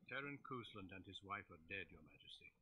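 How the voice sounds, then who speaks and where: An actor playing a stoic, courteous warrior commander in a fantasy game, speaking in a formal, measured, solemn way. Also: male voice, low-pitched, expressive, sharp tone, slight background noise, full character voice. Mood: grave, deferential. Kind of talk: dramatic fantasy game acting.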